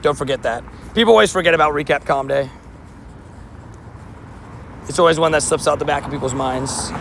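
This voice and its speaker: A man live-streaming outdoors, chatting casually with viewers and answering their chat messages, with a slightly hoarse voice. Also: on the high side, fairly quick, a bit bright, a little hiss or hum. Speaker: livestream host. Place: outdoors by a street with traffic.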